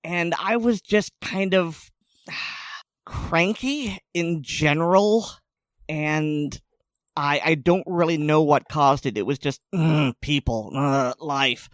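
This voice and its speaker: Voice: medium pitch, slow pace, dry recording, studio quality. A podcast co-host chatting casually, rambling and restarting sentences, laughing often. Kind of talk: casual chat.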